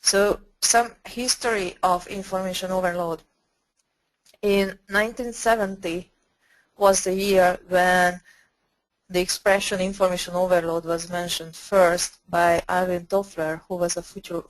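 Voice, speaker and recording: Low, flat-voiced woman presenting slides. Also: a little slow, rough recording. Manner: repeats words while finding phrasing.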